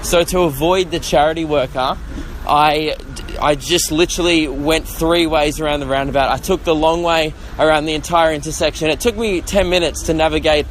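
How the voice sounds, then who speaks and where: A man's voice: a little high, steady tone, normal speed, few pauses, a little hiss or hum, low-quality recording. An Australian man hosting his podcast while walking, out of breath, chatting casually in a rambling, jokey, self-mocking way. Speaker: podcast host. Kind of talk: casual walking vlog chat. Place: outdoors, walking along suburban roads near traffic.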